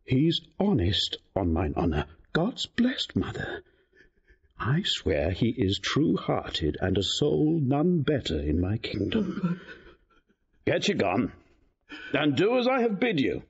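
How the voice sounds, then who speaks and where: Husky, commanding king, wildly expressive. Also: medium pitch, slow, heavily acted. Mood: reassuring, moved. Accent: British. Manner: theatrical, emphatic. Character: hearty, commanding, volatile king in his prime. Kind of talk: Shakespearean radio drama acting. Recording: slightly dull audio.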